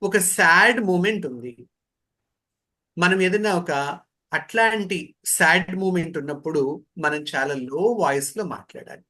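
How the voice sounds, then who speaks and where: A man's voice: high voice, normal speed, long pauses, sharp tone. A man giving an interactive training lecture in a didactic way, posing questions and repeating key phrases. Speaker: voice modulation trainer.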